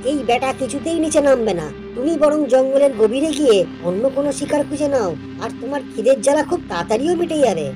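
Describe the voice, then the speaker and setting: A woman voicing a clever, friendly male goat with a coaxing way of talking in an animated folk tale for children. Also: high-pitched, quick pace, over music. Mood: coaxing, sly. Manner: coaxing, pleading.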